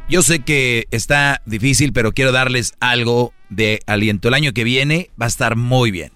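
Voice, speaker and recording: A man's voice: mid-range voice, moderate pace, pushed and bright, clean tone, no echo, soft background music. A man hosting a radio show with casual, opinionated, slangy comedic talk.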